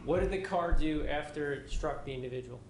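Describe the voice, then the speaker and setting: A man's voice: fairly high pitch, fairly quick, roomy sound, a little hiss or hum, rough recording. A man questioning a witness in court as prosecutor, methodical, with short probing questions.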